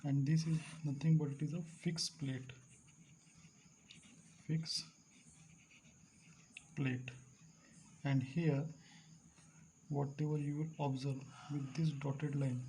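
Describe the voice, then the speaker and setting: Male teacher, fairly high voice, repetitive lecturing, faint background noise. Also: level tone, warm tone, rough recording. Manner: repetitive, stresses key terms slowly, clears throat. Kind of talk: classroom lecture at a board.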